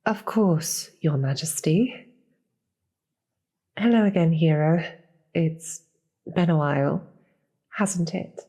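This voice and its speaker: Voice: medium pitch, slow, long pauses, warm tone, voice pushed up. A performer voicing a demon queen's obedient, teasing servant in a scripted fantasy audio roleplay. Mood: smug, playful.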